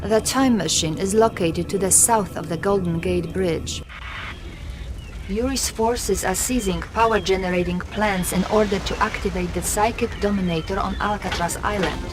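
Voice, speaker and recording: Actress as Soviet officer over loud music. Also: female voice, medium pitch, close to an everyday voice. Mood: businesslike. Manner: formal, measured. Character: composed, dutiful female Soviet intelligence officer briefing a general. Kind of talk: dramatic game briefing.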